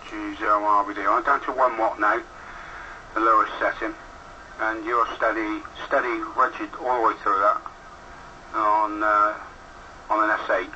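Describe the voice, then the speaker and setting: A man's voice: fairly low pitch, bright, forceful tone, dull audio. A man heard over a two-way radio, rambling matter-of-factly in casual amateur radio chat. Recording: noisy two-way radio transmission through a speaker.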